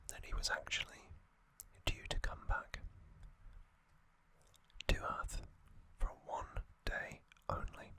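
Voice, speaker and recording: A host whispers an ASMR recap slowly and softly, with every word voiceless and breathy. The whisper has a bright, crisp edge. The delivery stays relaxed and conversational, with a few fillers. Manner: soft unvoiced whisper with casual fillers and asides.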